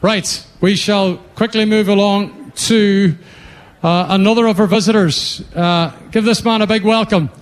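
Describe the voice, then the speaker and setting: A man hosting live stage interviews at a rally event, brisk and chatty. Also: sits high, steady tone, clear, resonant tone. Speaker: event host.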